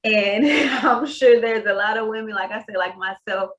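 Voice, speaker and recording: A woman's voice: medium pitch, fairly even intonation, moderate pace, fairly bright tone. A woman from Texas, hosting a podcast interview in a casual, rambling way. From Texas, USA. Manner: casual, rambling, laughs easily. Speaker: podcast host.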